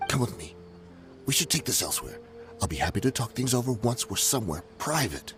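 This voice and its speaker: An actor voicing a shifty, nervous smuggler in a video game, with a wheedling, evasive, excitable way of talking. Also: male voice, medium pitch, a little fast, music in the background, close to an everyday voice. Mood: guarded. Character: shifty, nervous, bargaining male smuggler and ex-mercenary.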